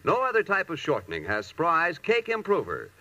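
Bright, lively male pitchman on dull old radio. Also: moderate pace. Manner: emphatic sales pitch. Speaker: commercial announcer. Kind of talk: 1940s radio commercial read.

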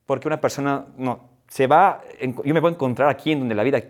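A young man in his twenties, a guest talking quickly and agreeably, often adding "¿sabes?". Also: medium pitch. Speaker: guest, fitness YouTuber. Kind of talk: conversational interview.

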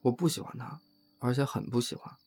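Casting: male actor as patient, peace-keeping man, average pitch, understated delivery, audio drama. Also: fairly even intonation, a little fast, a little mellow, slight background noise, lightly acted. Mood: quiet, guarded. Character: mild, conciliatory adult man, patient housemate of a boss. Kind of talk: amateur Chinese audio drama acting.

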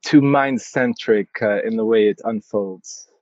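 A man's voice: on the low side, unhurried, a little mellow, no echo, lo-fi sound. A man who grew up speaking Arabic, a yoga teacher guest on a podcast, talking hesitantly with many restarts and easy laughs. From Egypt. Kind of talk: podcast interview.

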